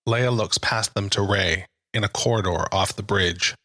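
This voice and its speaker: A man's voice: lowish voice, moderate pace. A man delivering dramatic, scripted present-tense narration for an audio drama. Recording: narration under loud music.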